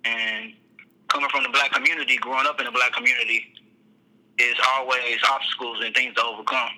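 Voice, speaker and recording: A man, a rapper, talking about his music in a casual phone interview, laid-back and halting, often repeating words. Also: medium pitch, flat delivery, bright, forceful tone. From Texas, USA. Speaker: rapper guest. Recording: tinny phone line.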